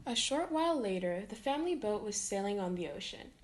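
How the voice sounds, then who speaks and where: A woman's voice: medium pitch, sing-song, a little fast. A woman reading a children's picture book aloud as a storytime reader.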